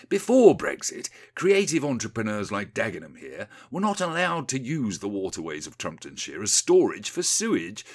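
A man's voice: mid-range voice, voice pushed up. A man voicing a creepy, condescending upper-class politician and undertaker with a pompous drawl in a satirical storybook parody. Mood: smug condescension.

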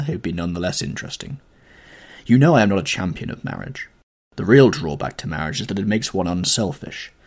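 A man voicing a languid, witty aristocratic gentleman, with a drawling manner, in a storybook reading. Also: on the low side, breathy edge, full character voice.

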